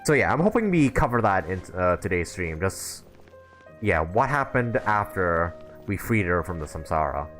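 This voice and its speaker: Man, fairly low voice, lively pitch, casual live gameplay commentary, halting rephrasing, faint music behind. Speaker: game streamer. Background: game music.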